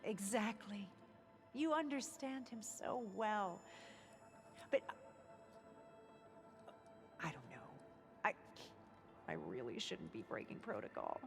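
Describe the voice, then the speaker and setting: A woman's voice: medium pitch, big pitch swings, slow, long pauses, faint music behind. A woman playing an earnest, devout cult convert in a video game, a former marine who speaks reverently and a little hesitantly. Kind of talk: video game cutscene acting.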